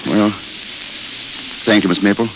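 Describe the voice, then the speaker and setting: An actor playing a gentle, penniless wandering writer in a 1930s radio drama, polite, halting and literate. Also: male voice, on the low side, fairly even intonation. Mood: courteous, wistful. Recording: muffled, crackling old radio broadcast.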